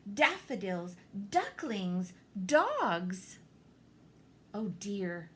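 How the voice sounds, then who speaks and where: High, expressive woman reading a storybook. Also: slight background noise. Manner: theatrical, deliberate pauses and emphasis. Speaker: storybook reader, teacher. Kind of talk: expressive read-aloud of a children's picture book.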